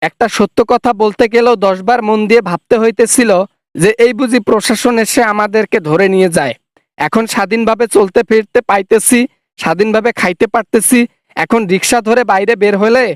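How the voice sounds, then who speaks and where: A man's voice: high-pitched, no room sound, clean studio sound, close to an everyday voice. A man voicing a blunt, sarcastic working-class rickshaw-puller in a comic cartoon phone call, with a Bangladeshi Bengali accent.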